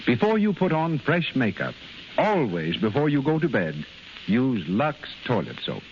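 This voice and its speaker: A man's voice: mid-range voice, huge pitch swings, measured pace. A male announcer reading a scripted 1930s radio advertisement. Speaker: commercial announcer. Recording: muffled, hissy 1930s radio broadcast recording.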